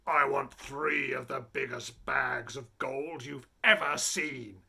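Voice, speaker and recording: A man's voice: mid-range voice, slow, vocal fry, sharp tone, slightly rough, heavily acted. A man voicing a greedy, boastful chief of robbers in a fairy tale, commanding and emphatic.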